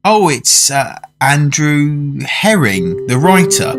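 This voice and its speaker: An actor playing a polite, curious dinner guest who narrates a radio drama in a measured, thoughtful way. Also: male voice, a little high, sing-song, exaggerated voice. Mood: puzzled, recalling. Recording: music under the voice.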